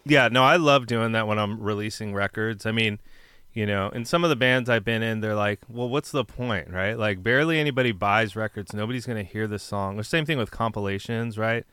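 A man's voice: on the low side, fairly quick, pushed and bright, clear, resonant tone, no echo. A man, a punk musician, chatting casually and asking questions in a laid-back, filler-heavy way. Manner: laid-back, lots of fillers, frequent yeahs. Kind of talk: casual podcast chat.

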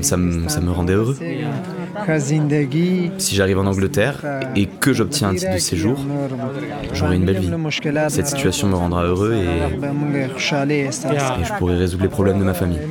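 A man's voice: sits low, lively intonation, normal speed, pauses often, over loud music. A man, a migrant, giving a reflective testimony and often repeating words as he searches for them. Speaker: migrant interviewee.

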